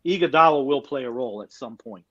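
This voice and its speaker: A man's voice: mid-range voice, lively intonation, quick pace, muffled recording, crowd noise. A man co-hosting a podcast in casual sports chat, talking conversationally with lots of "you know"s. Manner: conversational, backs up points with frequent "mm-hmm"s and "you know"s. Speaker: podcast co-host.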